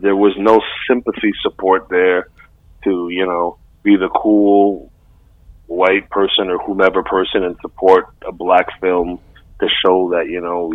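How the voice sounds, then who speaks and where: Brief: deep-voiced man, relaxed slangy co-host, thin lo-fi line. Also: unhurried, no echo. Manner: laid-back slangy talk, laughs often. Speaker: podcast co-host. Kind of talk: casual chat. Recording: dry, tinny phone-like sound.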